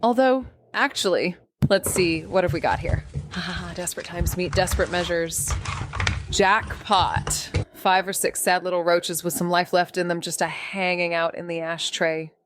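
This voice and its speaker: An actress playing a sardonic, restless young small-town amateur sleuth, narrating an audio drama in slangy first person. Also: female voice, on the low side, steady tone, normal speed, noisy background, natural voice. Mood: wry, muttering. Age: young adult. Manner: wry, sarcastic, slangy.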